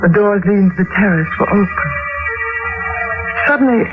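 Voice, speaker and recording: An actress playing a frightened woman recounting a nightmare with slow, dramatic dread in a 1940s radio mystery. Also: medium pitch, natural voice. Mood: haunted, fearful. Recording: muffled old radio recording, loud music.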